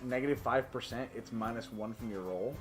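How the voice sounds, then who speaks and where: A man's voice: mid-range voice, a little fast, music in the background. A man hosting a tabletop game stream, chatting casually and explaining dice rules in an informal, jokey way. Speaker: game master and stream host.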